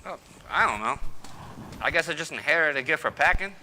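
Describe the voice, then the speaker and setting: A man's voice: a little high, lively intonation, fairly quick, pushed and bright. A man playing a folksy, stubborn hoarder of a husband in old-time radio comedy style, blustering and exclamatory. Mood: sheepish, chatty. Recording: reverberant hall, noisy live stage recording.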